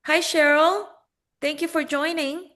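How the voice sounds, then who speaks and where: Casting note: woman webinar host on a video call, bit high, welcoming. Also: fairly even intonation, unhurried. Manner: warm, welcoming, thanks each guest. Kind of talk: casual video call greetings.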